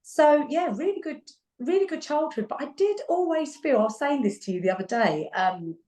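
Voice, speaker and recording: A woman's voice: sits high, normal speed. Laughing English woman in an echoey room. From England. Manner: animated, laughs often, repeats herself. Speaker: podcast guest. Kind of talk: casual conversation.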